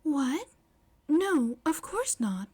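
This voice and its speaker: A woman voicing a polite teenage schoolgirl in a fan fiction reading, flustered and hesitant, with halting, often breathy delivery. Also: sits high, sing-song, darkish tone, voice pushed up, full character voice.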